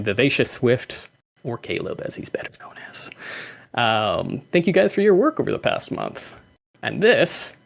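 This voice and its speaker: Lively man hosting a community call. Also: medium pitch. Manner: lively, laughs often, reads out names. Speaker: host.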